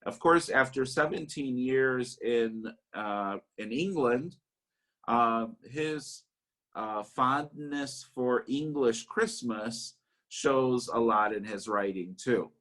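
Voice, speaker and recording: A man giving an unscripted parish webinar lecture, halting often with "uh" and restarting his sentences. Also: medium pitch, fairly bright tone. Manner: halting, many "uh" fillers and restarts. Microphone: webinar call mic.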